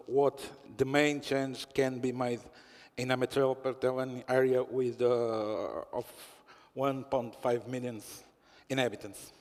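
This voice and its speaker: A man's voice: mid-range voice, darkish tone. A man moderating a panel who asks questions hesitantly, with non-native phrasing. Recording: long hall reverb.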